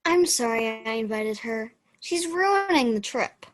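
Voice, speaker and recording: A frank, kind-hearted teenage girl camper played by a woman in an amateur radio play. Her voice is high, and she speaks slowly and plainly. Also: lively intonation. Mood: worried. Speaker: student radio play performer.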